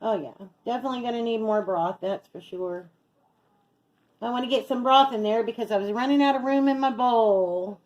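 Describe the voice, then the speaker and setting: A woman's voice: fairly high pitch, moderate pace, darkish tone, poor audio. A middle-aged woman chatting casually as she narrates each step of her home cooking as a vlog host. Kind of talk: casual chat while cooking. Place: home kitchen.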